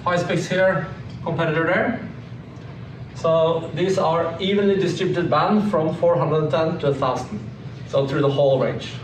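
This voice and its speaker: A man's voice: on the high side, steady tone, a little slow. Echoey, distant man giving a technical presentation. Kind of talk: slide presentation, informal technical commentary. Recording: echoey room, distant mic, clearly noisy.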